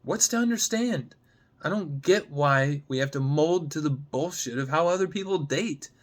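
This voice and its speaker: A man's voice: on the high side, animated, moderate pace, voice pushed up, close to an everyday voice. Man acting a pleading, lovesick young man. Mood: frustrated, pleading. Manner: pleading, earnest, exasperated. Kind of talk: dramatic screenplay reading.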